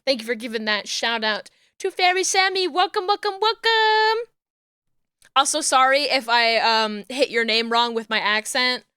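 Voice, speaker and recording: A young woman with a New Jersey accent, chatting casually on a live stream while she works, murmuring to herself and then greeting viewers with loud squeals. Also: high-pitched, expressive, normal speed, clear, resonant tone, dry recording, studio quality. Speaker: VTuber streamer rigging a model.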